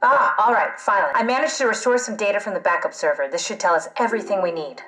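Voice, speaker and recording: A woman's voice: mid-range voice, quick pace, lightly acted. Actress as pragmatic female scientist, video game drama, wry and matter-of-fact, mid-range voice over music. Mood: focused. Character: wry, pragmatic woman scientist living on as a brain scan.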